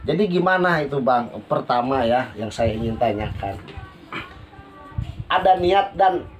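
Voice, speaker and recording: A man's voice: medium pitch, animated, unhurried. A man hosting a casual podcast interview in a polite manner, recorded as an amateur. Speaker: podcast host and beginner YouTuber. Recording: noisy amateur phone recording.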